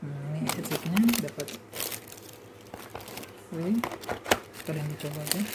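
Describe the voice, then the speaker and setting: Low-pitched woman giving noisy hands-on demo. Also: unhurried. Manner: instructive, short step-by-step phrases. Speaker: walkie-talkie seller demonstrating to a customer. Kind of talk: informal hands-on product demonstration. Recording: noisy on-location sound, clear background noise.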